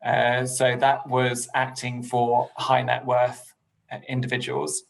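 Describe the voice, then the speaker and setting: A man's voice: medium pitch, completely flat delivery, slow, gravelly, low-quality recording. A middle-aged man from England, a podcast guest, talking politely and modestly with many ums and small laughs. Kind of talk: casual interview chat.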